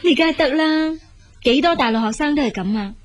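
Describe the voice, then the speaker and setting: A firm, practical big sister in a radio drama. Her voice sits fairly high, and she speaks frankly in brisk, reassuring, matter-of-fact Cantonese. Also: expressive. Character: practical, dutiful elder sister, married emigrant, firm and frank.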